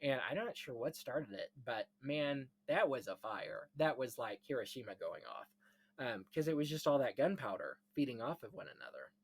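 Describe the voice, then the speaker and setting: A man's voice: mid-range voice, very animated, normal speed, poor audio. A man hosting a casual discussion of film history, explaining things in rambling, enthusiastic detail. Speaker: podcast host.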